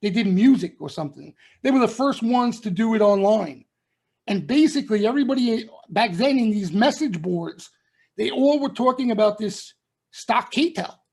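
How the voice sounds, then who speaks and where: A man's voice: high voice, animated, normal speed, creaky, darkish tone, husky. Emphatic man lecturing traders. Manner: emphatic, repeats points, lectures and warns listeners. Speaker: stock trading commentator. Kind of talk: members-only market broadcast.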